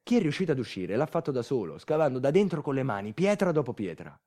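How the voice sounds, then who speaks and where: A man performing a theatrical narrative monologue as a storyteller, moving dramatically between quiet asides and urgent delivery. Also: medium pitch, big pitch swings, fast. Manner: dramatic, swinging from hushed asides to loud urgency. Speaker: solo stage storyteller, actor.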